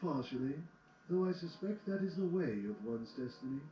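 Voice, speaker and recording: A man playing a wise, kindly old wizard pony mentor in a fan audio drama, speaking formally and with measured care. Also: fairly high pitch, lively intonation, dark tone, natural voice. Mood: solemn, reassuring. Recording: echoey, faint noise.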